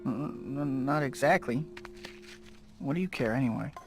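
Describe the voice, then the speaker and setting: A man's voice: medium pitch, normal speed, gravelly, darkish tone, soft background music, lightly acted. A young man playing an earnest, slightly awkward, wisecracking superhero in a video game, speaking casually. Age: young adult.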